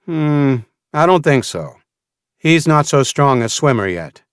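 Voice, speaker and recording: Caring mom, played by a male narrator: practical, gently firm, pitched up, audiobook dialogue. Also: very animated, unhurried, clean tone, no echo, studio quality. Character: caring, practical mother of a teenage son.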